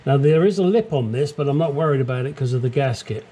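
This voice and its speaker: Guy under his car in the garage talking you thru the fix, mellow voice, cussing n joking, action cam sound. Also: medium pitch, animated, fast, a little hiss or hum. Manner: chatty, swears, cracks crude jokes. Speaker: DIY car mechanic. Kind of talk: casual how-to narration while working.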